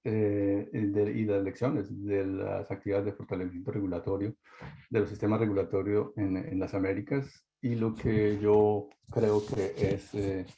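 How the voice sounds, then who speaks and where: A man presenting a webinar talk, halting with many fillers and restarts, over a video-call mic. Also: fairly low pitch, warm tone. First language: Spanish. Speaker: webinar speaker. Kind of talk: hesitant presentation. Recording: dull lo-fi webinar audio.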